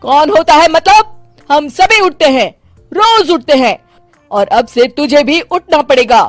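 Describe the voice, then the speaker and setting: A high, commanding older mother-in-law from a cartoon moral tale, acted by a woman. She is a strict retired policewoman who runs home like a parade ground, drawing out her orders in a dry, close recording. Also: lively intonation, no room sound, faint music behind. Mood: stern. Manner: barking drawn-out commands, strict. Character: stern retired policewoman mother-in-law, drill-sergeant bossy. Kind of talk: animated moral story voice acting.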